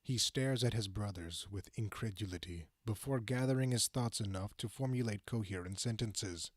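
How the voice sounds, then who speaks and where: A man playing the composed narrator of a fantasy audio drama, measured and formal in scripted delivery. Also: lowish voice, no echo, studio quality, lightly acted.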